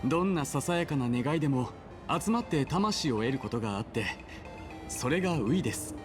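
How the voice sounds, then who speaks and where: Man voicing a brisk, lively, polite guide. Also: fairly high pitch, close to an everyday voice. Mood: polite explaining. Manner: polite, explanatory. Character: polite, gently explaining male guide character. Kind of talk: Japanese game voice acting. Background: game music.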